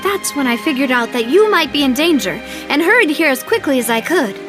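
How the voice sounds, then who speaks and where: Young female boss, high voice, refined and sincere, measured game-cutscene delivery over music. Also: big pitch swings. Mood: composed, inquiring. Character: poised young lady leading a crime-fighting organization, earnest and polite. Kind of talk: video game cutscene voice acting.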